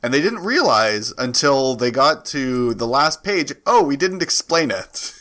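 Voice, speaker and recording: A man's voice: medium pitch, sing-song, a little slow, pushed and bright, slight background noise. A man co-hosting a podcast, narrating a comic and joking about it casually.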